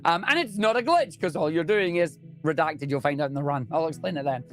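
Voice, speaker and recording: A man's voice: on the high side, a little fast, faint music behind. A young man with a Scottish accent, a speedrunner chatting enthusiastically and jokily in an interview. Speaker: speedrunner guest. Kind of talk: casual interview chat.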